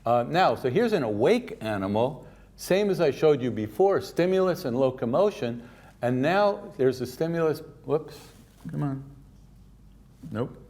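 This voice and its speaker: An older man from Canada giving a keynote science lecture, lively and informal, with offhand asides. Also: fairly high pitch, measured pace. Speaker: keynote speaker.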